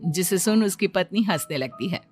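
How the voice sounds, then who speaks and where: Female cartoon story narrator over soft music. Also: fairly low pitch, lively intonation, normal speed.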